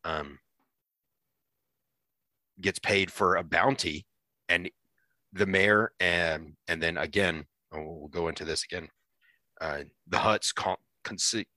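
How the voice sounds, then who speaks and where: A man co-hosting a podcast, talking casually about a series as a fan, often pausing and restarting his thoughts. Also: low-pitched, fairly even intonation, sharp tone, no room sound. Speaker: podcast co-host.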